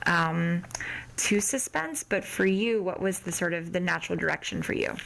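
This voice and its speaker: A woman's voice: on the low side, normal speed, creaky, fairly bright tone, slight background noise, low-quality recording. A woman hosting a podcast, interviewing her guest in a casual, conversational way.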